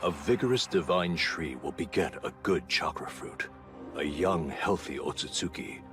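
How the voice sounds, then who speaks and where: Low-voiced, unflappable scientist. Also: male voice, music in the background. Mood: calm, calculating. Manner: measured, nonchalant, explanatory. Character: unflappable, dry, calculating male scientist schemer. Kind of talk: anime dub voice acting.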